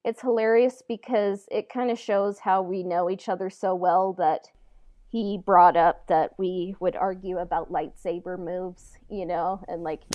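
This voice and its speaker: A woman with a slightly low voice talks in a very dry recording. Her delivery is casual and halting, full of informal filler, like a podcast co-host chatting. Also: fairly even intonation, a little mellow, no room sound, highs cut off. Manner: halting, informal, frequent "like" and "you know". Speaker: podcast co-host and audio drama writer. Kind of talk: casual conversational chat.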